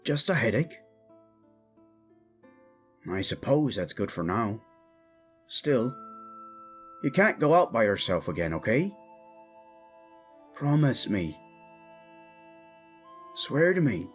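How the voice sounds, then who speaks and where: Male actor as gruff young fantasy protector, devoted, intense, slow deliberate delivery, widely swinging pitch, music underneath. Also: big pitch swings. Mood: concerned. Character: protective young commoner man, gruff and devoted, guarding royalty. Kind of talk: fantasy audio roleplay acting.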